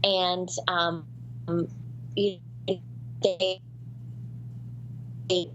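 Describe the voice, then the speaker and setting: A woman's voice: lowish voice, little pitch movement, extremely slow, a bit bright, muffled recording, a little hiss or hum. A woman in her thirties, a voice actress, chatting in an interview with many fillers and restarts. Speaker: voice actress guest. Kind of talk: casual interview storytelling.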